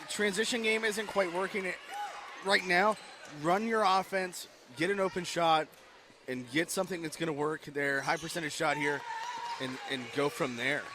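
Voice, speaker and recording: A man with a fairly high voice gives color commentary during a radio broadcast of a basketball game. He talks through what the team should do like a coach and sometimes trips over his own phrases. Loud gym noise fills the background. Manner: coaching-style analysis with small restarts. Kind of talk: game analysis.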